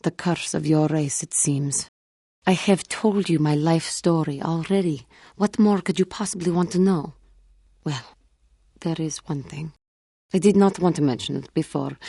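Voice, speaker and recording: Casting: female alien Jedi warrior for a game, earnest and wounded, low mellow voice with a husky grain, formal and measured. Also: no echo, clean studio sound, heavily acted. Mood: bitter, grave, then defensive. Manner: formal, measured, grave phrasing with few contractions. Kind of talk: video game character dialogue.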